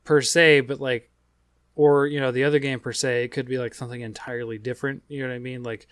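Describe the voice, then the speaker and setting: A man hosting a casual gaming podcast, talking in a rambling, halting way. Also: mid-range voice, level tone, dry recording. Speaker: podcast host.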